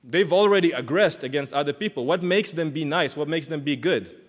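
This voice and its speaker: A man's voice: a little high, brisk. A man in his thirties from Israel, speaking English, giving an earnest, reflective conference talk as a clinical psychologist. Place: large conference hall. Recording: long hall reverb.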